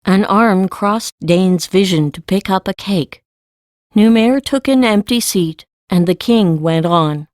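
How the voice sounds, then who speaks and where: A woman's voice: medium pitch, slow pace, a little mellow, no echo, clean studio sound. A woman reading scripted story narration as the narrator of an audiobook.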